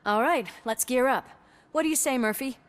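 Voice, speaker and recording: An actress playing a tough, loyal police officer in a video game, brisk and no-nonsense. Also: female voice, high-pitched, natural voice. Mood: determined. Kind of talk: video game cutscene acting.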